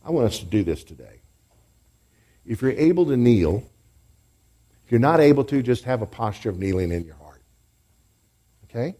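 A man's voice: mid-range voice, very animated, moderate pace, long pauses, dark tone, husky. A man preaching and teaching a congregation in a church, leading them in call-and-response and group praise. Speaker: pastor. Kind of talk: sermon teaching.

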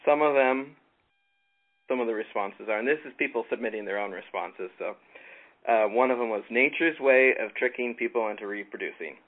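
A man from California leading a casual Bible study, relaxed and halting with frequent ums. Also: mid-range voice. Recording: tinny phone-like line with faint noise.

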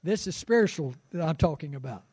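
A man's voice: a little high, animated, a little fast, very noisy. A man in his sixties preaching a sermon as pastor, conversational and fervent, with rising exclamations. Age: 60s. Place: church sanctuary.

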